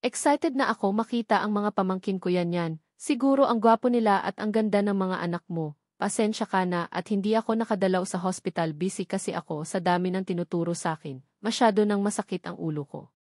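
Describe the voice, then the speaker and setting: A woman's voice: medium pitch, a little fast, darkish tone, no echo, studio quality, close to an everyday voice. A woman reading the part of a chatty, friendly, admiring young aunt in a narrated story. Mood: excited, apologetic.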